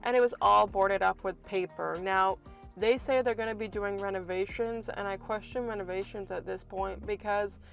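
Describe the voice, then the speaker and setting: A woman sounding raspy and congested from a cold, her mid-pitched voice often dipping into gravelly fry. She vents frankly to her viewers about delivery work, restarting her sentences as she goes. Faint music plays under her voice. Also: fairly even intonation, moderate pace, a bit bright. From Canada. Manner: frank, exasperated ranting with many restarts. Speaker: food delivery driver and vlogger. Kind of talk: casual vlog talk to camera.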